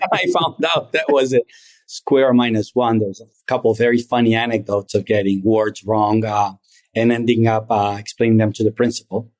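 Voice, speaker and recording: Mellow-voiced Argentine-born man, reflective. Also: fairly low pitch, fairly even intonation. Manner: reflective, measured storytelling. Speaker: private equity founder, guest. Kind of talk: interview conversation.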